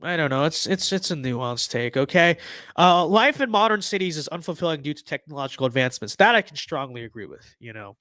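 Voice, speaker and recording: Young male streamer, hoarse voice, slightly high, rambling casual talk, dry close mic. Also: lively intonation, fairly quick, pushed and bright, dry recording. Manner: rambling, filler-heavy, many restarts. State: losing his voice. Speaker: livestream host. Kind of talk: casual livestream commentary.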